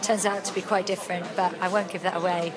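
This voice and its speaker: A woman's voice: on the low side, quick pace, crowd noise. A young Welsh woman, an actress and writer, chatting freely in an interview with lots of ums. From Wales. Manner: chatty, animated storytelling with frequent ums. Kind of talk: casual interview chat.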